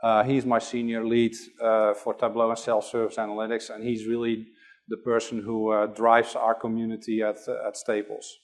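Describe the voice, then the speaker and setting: A man's voice: lowish voice, completely flat delivery, moderate pace. A middle-aged man from the Netherlands with a Dutch accent, giving a conference talk and hesitating often with fillers. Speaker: conference presenter.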